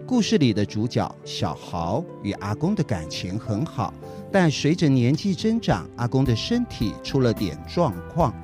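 A man's voice: medium pitch, big pitch swings, normal speed. A man with a Taiwanese Mandarin accent narrating a scripted introduction to a story for children. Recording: music behind the voice. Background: music.

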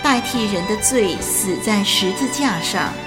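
A woman playing a solemn angel who judges souls in a radio drama, measured, authoritative and didactic, with music behind her. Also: mid-range voice, expressive, in a large hall, natural voice. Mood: solemn, instructive. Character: solemn female angel judging souls at heaven's gate. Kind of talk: radio drama acting, preaching delivery. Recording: music bed under the voice.

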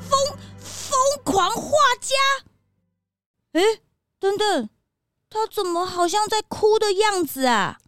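Lady doing nosy excitable boyish sidekick, high cartoon voice, close dry sound. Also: slow, pauses often, no echo, faint music behind, full character voice. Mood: puzzled, curious. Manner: inquisitive, wide-eyed exclamations. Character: curious, excitable young male detective's sidekick. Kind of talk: children's radio drama acting.

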